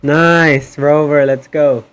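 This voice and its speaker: A man's voice: a little high, fairly even intonation, takes it slowly, fairly bright tone, clear, resonant tone, no room sound. A man livestreaming a game, chatting casually to viewers over the gameplay.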